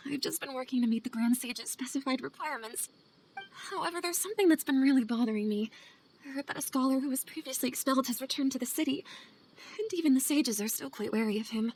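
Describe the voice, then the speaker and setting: A woman's voice: high voice, quick pace, a little mellow, poor audio. An actress voicing a weary, anxious female scholar in video game dialogue, earnest, hesitant and confessional in manner. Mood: earnest, troubled. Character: guilt-ridden female scholar, weary, anxious assistant to sage. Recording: game audio over clear ambient noise.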